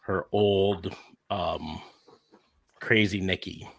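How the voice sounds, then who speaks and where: A man's voice: sits low, fairly even intonation, extremely slow, creaky, fairly bright tone. A man hosting a podcast in casual banter, joking and thinking aloud with hesitations. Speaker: podcast host. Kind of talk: casual wrestling fantasy booking chat.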